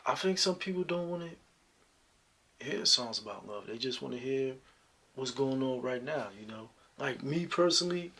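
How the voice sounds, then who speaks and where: Brief: deep-voiced male singer being interviewed, husky tone, easygoing, filler-heavy. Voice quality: deep talking voice. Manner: relaxed, reflective, many "you know" fillers. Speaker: singer guest. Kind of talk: interview answers.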